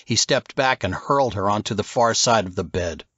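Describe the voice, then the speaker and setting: A man's voice: on the low side, moderate pace, a bit bright, dry recording, muffled recording. A man narrating scripted fiction as an audiobook reader.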